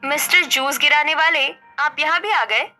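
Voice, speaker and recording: A woman's voice: high voice, moderate pace, sharp tone. A woman voicing a vain, fashion-obsessed rich young woman in a family story, with a haughty, boastful manner. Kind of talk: Hindi animated family story dialogue. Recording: faint background music.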